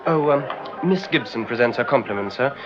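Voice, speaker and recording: An actor playing a formal, deferential club waiter in an old radio drama style. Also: male voice, mid-range voice, lively intonation, fairly quick, natural voice. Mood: polite, deferential. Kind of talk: mid-20th-century BBC radio drama acting. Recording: dull vintage radio recording with music.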